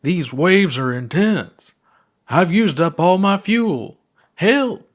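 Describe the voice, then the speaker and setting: A goofy, easygoing alien astronaut, acted out by a male reader telling tales in a storybook. The delivery is theatrical and playful, with words stretched out slowly. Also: high voice, expressive, pitched above the performer's own voice. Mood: mock panic.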